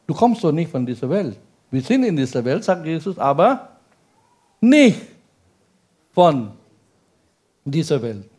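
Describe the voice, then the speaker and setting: A male pastor preaching in a language that is not his first, peppering his sermon with rhetorical questions and quick checks to the congregation. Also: fairly high pitch, big pitch swings, darkish tone, breathy edge. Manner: speaks German as a second language.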